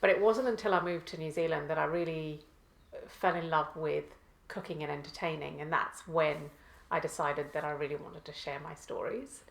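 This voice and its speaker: A woman from Malawi, a guest author, answering interview questions hesitantly with many pauses and restarts. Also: sits low, steady tone, vocal fry. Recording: echoey room.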